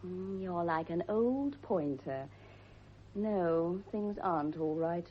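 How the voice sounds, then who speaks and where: A woman acting a well-bred, emotional young woman in a radio drama, with an upper-class English accent and a husky voice. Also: on the low side, slow pace, slight background noise. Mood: uneasy. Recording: dull, lo-fi radio recording.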